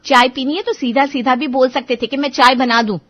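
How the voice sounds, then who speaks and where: A woman's voice: high voice, fairly even intonation, brisk, pitched above the performer's own voice. A woman voicing a young newlywed wife who answers her irritable husband defensively but patiently, in a dull lo-fi recording. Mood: indignant. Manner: defensive, reasoning.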